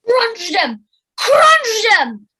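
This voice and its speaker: A woman playing a cruel, dim weasel henchman in an audio play, shrill and jeering. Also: huge pitch swings, very slow, pushed and bright, full character voice. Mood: gleeful cruelty.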